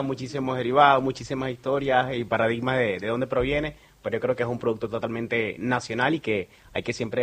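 A man with a Venezuelan accent answers interview questions in an earnest, promotional way. His voice is husky and a little breathy, and he speaks at a middle pitch with frequent fillers. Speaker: guest, sales manager. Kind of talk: radio interview.

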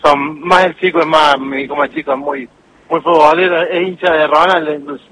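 A man's voice: fairly high pitch, steady tone, fairly quick, fairly bright tone, a little hiss or hum, poor audio. A man chatting casually as a football fan interviewed live. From Argentina. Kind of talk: casual chat.

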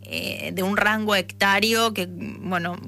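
A woman's voice: medium pitch, fairly even intonation, bright, forceful tone. Chatty woman hosting radio. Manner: chatty, halting questions. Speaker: radio host. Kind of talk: radio interview.

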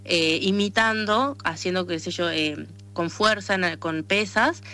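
A woman's voice: on the low side, a little slow, bright, forceful tone, faint music behind. A woman with a Tucumán accent from northern Argentina, answering as an athlete in a conversational radio interview. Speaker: interviewed athlete, arm wrestler and association president.

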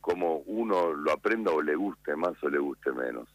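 Deep-voiced Argentine chef on radio. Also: male voice, no room sound, lo-fi sound. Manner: calm, unhurried, explanatory. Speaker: chef guest. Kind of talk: radio interview by phone.

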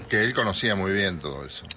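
A man's voice: on the low side, huge pitch swings, brisk, sharp tone. An older man with a Rioplatense Spanish accent, chatting and reminiscing as a radio host, often trailing off mid-sentence. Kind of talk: casual radio conversation.

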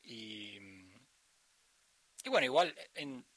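A man with an Argentine Spanish accent, a musician guest talking reflectively and pausing often to search for words. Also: mid-range voice, expressive, fairly bright tone, dry recording. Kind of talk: conversational interview.

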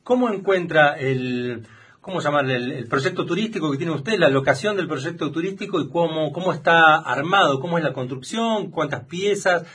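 A man's voice: on the high side, normal speed, a bit bright, slightly rough. A man with an Argentine accent hosting a casual radio interview, asking long rambling questions with restarts. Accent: Argentine Spanish. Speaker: radio host.

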